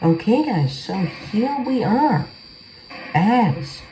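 A man's voice: high-pitched, very animated, drawn-out words, mellow, rough recording. A young man giving casual game walkthrough commentary as the host of a gaming video. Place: small bedroom, indoors. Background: game music.

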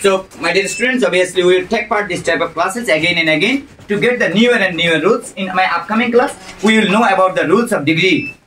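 A man's voice: high-pitched, fast talker, few pauses, bright, forceful tone, a little hiss or hum, poor audio. A man in his thirties with a Bangladeshi accent, teaching English grammar to a classroom and prompting students to finish his sentences. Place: crowded classroom full of students. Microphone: camera in the room.

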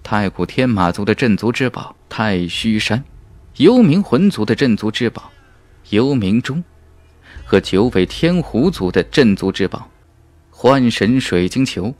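A man with a fairly low voice narrates fantasy fiction with dramatic emphasis. His pitch swings widely as he tells the story in measured phrases. The sound is dry and close, like a studio audiobook. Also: big pitch swings, a little mellow, no room sound.

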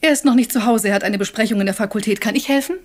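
Woman playing nervous mom in a crime radio play, really high voice, earnest, guarded. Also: racing, close to an everyday voice. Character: anxious wife and mother, guarded and fearful. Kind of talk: German radio crime drama acting.

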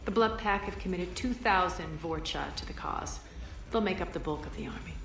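A woman playing a haughty alien crime boss in a video game, sardonic, deliberate and commanding. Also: fairly low pitch, creaky. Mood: smug, commanding. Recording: slightly roomy, music behind.

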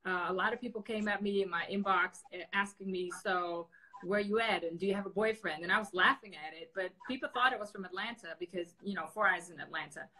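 A woman's voice: mid-range voice, fast talker, bright, forceful tone, poor audio. A woman in her thirties from the Netherlands, a native Dutch speaker, hosting a casual livestream interview from home over a webcam and laughing easily. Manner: chatty, laughs often, many fillers. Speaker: host. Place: bedroom at home, indoors. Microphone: webcam on a video call.

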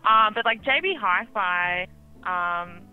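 A woman's voice: lowish voice, slow, smooth, faint music behind. A woman working in a store, giving hesitant, casual customer service over the telephone. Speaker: store employee on phone. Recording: band-limited telephone line.

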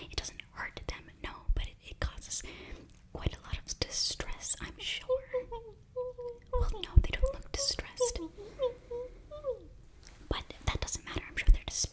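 Young woman, close-miked whisper for fantasy ASMR roleplay; flustered, halting, apologetic student sorceress. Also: extremely high pitch. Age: young adult. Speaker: ASMR performer. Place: small indoor bookshelf set. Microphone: very close ear-to-ear microphone.

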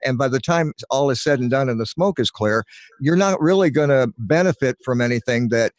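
A man's voice: medium pitch, expressive, brisk, dry recording. An older man, a veteran voiceover artist, sharing tips in an easygoing interview over a video call from his home radio studio, with fillers as he explains. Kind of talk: casual interview talk. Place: home radio studio with tape shelves, indoors.

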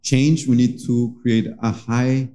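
A man in his thirties from Colombia, speaking into a stand mic in a small cafe as he introduces a livestream jam, earnest and pausing often to find his words. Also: mid-range voice, level tone, mellow. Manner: earnest, searching for words with many pauses. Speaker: musician hosting a livestream jam. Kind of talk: casual spoken introduction to a livestream. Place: small cafe with stage, indoors. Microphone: vocal mic on a stand.

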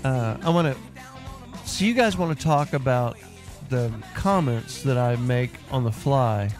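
A man's voice: medium pitch, expressive, darkish tone, faint music behind. A man in his fifties hosting a call-in radio show, brash and teasing, talking into a studio mic in a home studio. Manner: brash, teasing, quick asides. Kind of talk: joking radio call-in banter. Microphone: large studio mic on boom arm.

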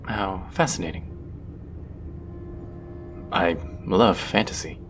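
A man playing a gentle, charming stranger on a train in a soft ASMR hypnosis roleplay, soothing and often drifting into breathy whispers. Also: slow pace. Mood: friendly, charming. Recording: loud train rumble behind the voice. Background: train ambience sound effects.

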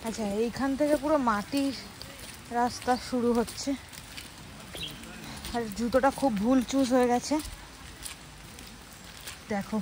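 A woman's voice: fairly high pitch, a little slow, pauses often. A woman vlogging on a rainy forest trail, chatting to the camera and joking about being soaked. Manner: chatty, self-mocking, talks to camera. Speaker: travel vlogger. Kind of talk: casual travel vlog chat. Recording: outdoor phone audio with loud background noise.

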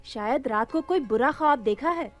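Radio drama: obedient maidservant, deferential and melodious in pitch, high voice. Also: female voice, lively intonation, moderate pace, faint music behind. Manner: courtly, deferential. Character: obedient, flattering palace maidservant. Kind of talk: radio play acting.

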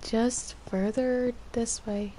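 A woman's voice: a little high, steady tone, slow pace, warm tone, a little hiss or hum. A woman talking casually in character while playing an online roleplay game.

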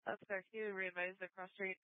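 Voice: level tone, a little fast, dry recording. A police dispatcher relaying addresses and codes over the radio in a clipped, procedural way. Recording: muffled low-fi police radio audio.

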